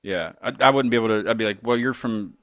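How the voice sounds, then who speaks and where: An American man hosting an interview show, chatting casually in a rambling, halting way. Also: fairly low pitch, a loud crowd. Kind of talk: casual chat.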